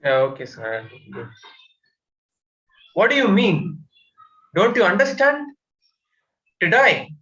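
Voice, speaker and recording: A man's voice: sits high, wildly swooping pitch, slow pace, pauses often, bright, forceful tone, reverberant, lo-fi sound. A man, taking part as a learner in a speaking class. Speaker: session participant.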